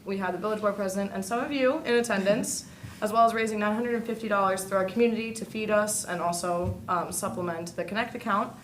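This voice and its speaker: A woman's voice: mid-range voice, fast talker, poor audio. Teen girl doing a club presentation, casual with little asides, faint noise in the room. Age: teens. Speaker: student club member presenting. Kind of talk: informal group presentation. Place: indoor school board meeting room.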